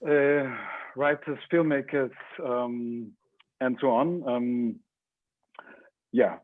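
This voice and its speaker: Slow, halting man on a thin call line. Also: mid-range voice, dry recording. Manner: halting, deliberate. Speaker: interviewer, art space organizer. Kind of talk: informal moderated talk. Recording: dry, narrow phone-like call sound.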